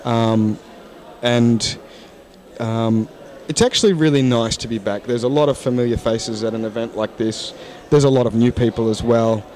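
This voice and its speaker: A man's voice: mid-range voice, moderate pace, a little mellow. Frank man at a conference. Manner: frank, thoughtful, many restarts. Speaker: interview guest, English teacher. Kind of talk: casual interview. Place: conference hall lounge, indoors. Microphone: handheld mic.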